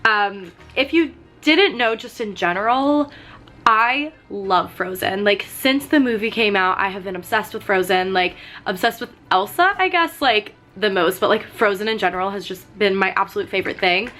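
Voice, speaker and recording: A woman in her twenties chatting casually while doing her makeup, full of "like" and "um", her voice slightly hoarse from getting sick. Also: mid-range voice, lively intonation, normal speed, bright, forceful tone. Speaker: vlogger. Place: sitting on a fur rug at home.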